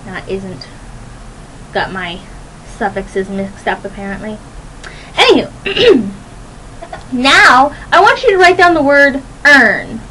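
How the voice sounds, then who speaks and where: A young woman hosting a radio show, rambling casually to listeners in a chatty, playful, animated and giggly way. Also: fairly high pitch, slow, pauses often, slight background noise, low-quality recording. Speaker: radio host.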